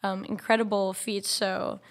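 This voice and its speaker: A woman co-hosting a podcast, speaking informally and a little haltingly. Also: mid-range voice, flat delivery, heavy background noise. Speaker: podcast co-host. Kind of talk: casual live introduction and chat.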